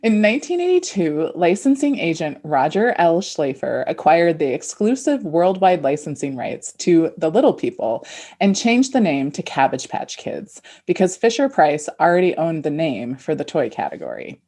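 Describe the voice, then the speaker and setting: A woman's voice: sits low, big pitch swings, moderate pace, warm tone. A woman in her forties co-hosting a podcast, chatting casually and sharing personal anecdotes. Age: 40s. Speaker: podcast co-host. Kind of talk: casual chat.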